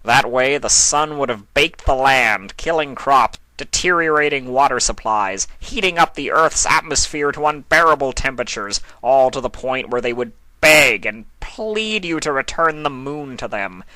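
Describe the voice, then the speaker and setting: A smug, mischievous god of chaos, acted out by a man for a fantasy story reading. The voice is lifted in pitch and sharply bright, with a dry, close sound. It lectures in a mocking, theatrical way. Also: lively intonation, normal speed, hardly any pauses, dry recording, rough recording. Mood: smug lecturing.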